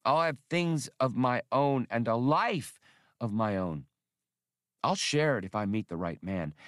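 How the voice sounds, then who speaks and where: A man's voice: medium pitch, no echo, studio quality, lightly acted. A man voicing a proud, blunt young working-class woman spacefarer in an audiobook, speaking emphatically. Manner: scolding, emphatic.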